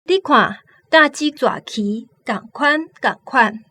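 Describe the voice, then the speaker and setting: A woman playing a frank, composed young woman with a Taiwanese accent, admonishing deliberately in a radio drama. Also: a little high, pushed and bright, dry recording, studio quality, full character voice. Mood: firm.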